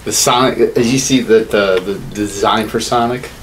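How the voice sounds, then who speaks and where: A man's voice: on the low side, moderate pace. Blunt, profane man over a noisy background. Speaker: podcast co-host. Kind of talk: casual opinionated chat. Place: small home studio room. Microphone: podcast mic on desk boom arm. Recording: clearly audible background noise.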